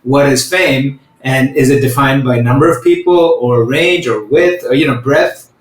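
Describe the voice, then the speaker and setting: Brief: male podcast host, mid-pitched, thoughtful and measured, echoey room sound. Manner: measured, reflective, slows to think aloud. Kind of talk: conversational interview. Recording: roomy echo.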